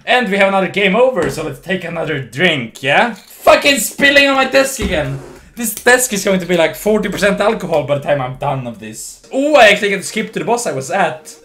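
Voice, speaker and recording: A young man with a thick Swedish accent, drunk, slurring and restarting his words as he comments on a game he is playing. His voice sits high and bright, with lively swings in pitch. He is getting a little hoarse. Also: a little fast. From Sweden. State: voice going hoarse. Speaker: livestream gamer. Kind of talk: casual drunk gameplay commentary.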